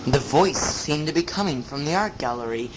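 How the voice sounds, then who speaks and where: A storyteller narrating a scripted, spooky first-person tale in a measured storybook manner. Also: mid-range voice, expressive, noisy background. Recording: loud music under the voice. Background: loud music.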